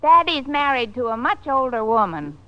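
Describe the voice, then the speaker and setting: Noisy, muffled old radio comedy. a very high-pitched, cutesy child's voice, cheeky and innocent. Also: female voice, very animated, moderate pace, bright, forceful tone, heavily acted. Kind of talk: 1950s radio comedy acting.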